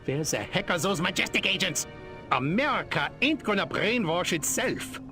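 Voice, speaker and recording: A man's voice: high-pitched, animated, normal speed. A man voicing a paranoid, scheming propagandist in a video game, melodramatic and self-satisfied. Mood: smug scheming. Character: paranoid, scheming male human propagandist, cartoonishly villainous. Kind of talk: video game cutscene acting. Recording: music behind the voice.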